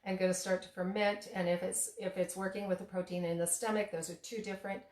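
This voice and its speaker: A woman chatting casually while cooking at home, rambling with many fillers and restarts, her voice slightly hoarse. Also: mid-range voice, flat delivery, fast, darkish tone, reverberant. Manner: laughs at herself. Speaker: tea shop owner and cooking vlogger. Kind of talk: casual kitchen demo chat. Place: home kitchen.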